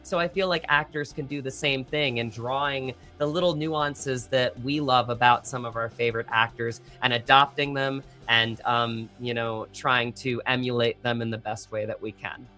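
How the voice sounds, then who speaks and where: A man's voice: medium pitch, steady tone, normal speed, hardly any pauses, bright, forceful tone, faint music behind. A man speaking as an actor guest in a casual interview, earnest and reflective, often searching for words.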